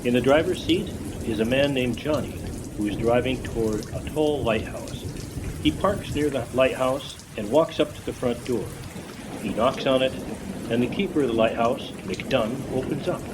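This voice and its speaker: A man's voice: medium pitch, moderate pace. A man reading scripted narration and scene directions for a radio play. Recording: loud rain and background noise.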